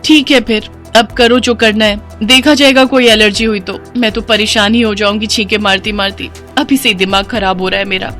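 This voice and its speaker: A woman voicing an anxious, complaining young bride-to-be in an animated cartoon story. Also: medium pitch, moderate pace, hardly any pauses, soft background music, lightly acted. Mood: worried, fretful. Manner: fretful, complaining.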